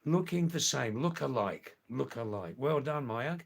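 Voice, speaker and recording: Older guy doing a live stream, slow and rambly, kinda gravelly, repeats stuff to the chat. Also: medium pitch, lively intonation. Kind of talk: casual live stream chat reading viewer comments.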